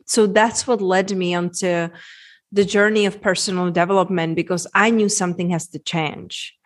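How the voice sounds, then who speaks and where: A woman's voice: lowish voice, fairly even intonation, moderate pace. A woman in her forties with a Czech accent, earnestly telling her personal story as a podcast guest, repeating phrases for emphasis. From Czech Republic. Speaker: podcast guest, women's transformation coach.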